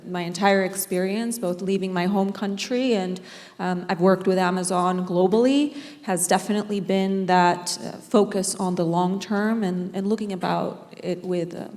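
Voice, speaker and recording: A woman from Venezuela speaking thoughtfully and in a measured way on a panel in a large conference hall. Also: medium pitch, a little mellow. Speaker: business executive, panelist. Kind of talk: panel discussion, reflective. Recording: long hall reverb from event PA.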